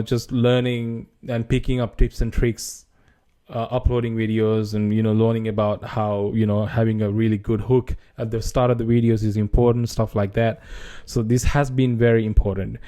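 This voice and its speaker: A young man from Sri Lanka speaks to camera in an easygoing vlog, his Sri Lankan accent plain and his voice mellow and dark. His pitch sits in the middle, and he often stops, restarts and adds fillers as he goes. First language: Sinhala. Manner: hesitant, many restarts and fillers. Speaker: gaming channel creator. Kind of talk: casual vlog talk to camera.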